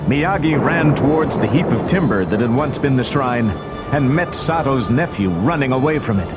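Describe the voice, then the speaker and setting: A man's voice: medium pitch, lively intonation, normal speed, loud music behind the voice. A man narrating a children's story recording from a script in a dramatic storytelling style.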